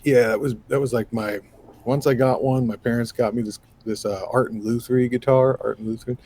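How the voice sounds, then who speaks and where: Low raspy-voiced man, animated and chatty. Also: a little fast. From New York State. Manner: animated, rambling with fillers. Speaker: guest musician. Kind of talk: casual interview conversation.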